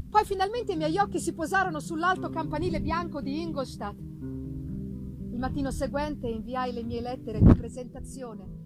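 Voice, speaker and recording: High-pitched woman reading a novel theatrically. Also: poor audio. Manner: theatrical, deliberate, with pauses for effect. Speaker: reader of a novel, narrating in first person. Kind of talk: dramatic literary narration, live performance. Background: live musical accompaniment.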